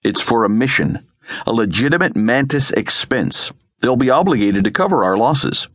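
Man playing a sly, assured squad leader, lively intonation. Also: mid-range voice, moderate pace, dry recording, clean studio sound. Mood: persuasive. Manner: assured, wry. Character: confident, cool male special-operations leader, sly.

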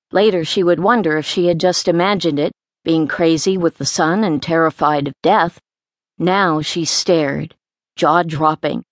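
A woman's voice: medium pitch, dry recording. A woman reading a story aloud as an audiobook narrator, in scripted narration.

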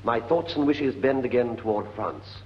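A man's voice: medium pitch, moderate pace, close to an everyday voice. A British actor playing a courteous young nobleman petitioning a king in a classical Shakespearean radio drama. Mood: respectful, earnest. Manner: formal, courteous. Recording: muffled old radio recording with hum.

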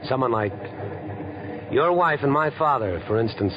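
A man's voice: medium pitch, lively intonation, moderate pace, noisy background, lightly acted. Guy playing a spoiled reckless playboy in an old radio play, pushy and insinuating, dull lo-fi sound, midrange voice. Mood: eagerly scheming. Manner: insinuating, overfamiliar, talkative. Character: idle rich young playboy, chummy, reckless, coolly murderous. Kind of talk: vintage radio drama acting.

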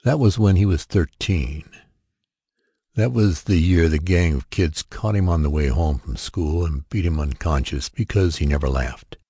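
A man narrating a short story in a measured, dramatic storytelling manner. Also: rumbling low, warm tone, husky, no echo, studio quality. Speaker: audiobook narrator.

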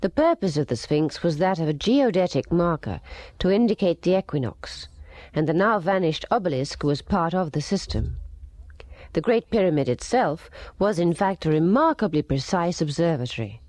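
A woman narrating a scripted documentary in a measured, deliberate way. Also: on the low side, animated, a little mellow, no echo.

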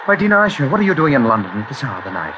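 An actor playing a courteous, solicitous gentleman with exclamatory 1940s radio delivery. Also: mid-range voice, big pitch swings, rapid-fire, lightly acted. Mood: surprised, kindly. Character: courteous, concerned gentleman, a family friend. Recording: dull, very noisy old radio recording.

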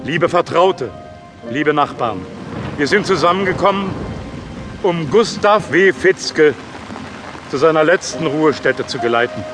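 A solemn pastor with a notably high voice for a man. He speaks ceremonially at a graveside, with rain and music behind him. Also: animated. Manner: measured, ceremonial. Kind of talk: funeral address in an audio drama.

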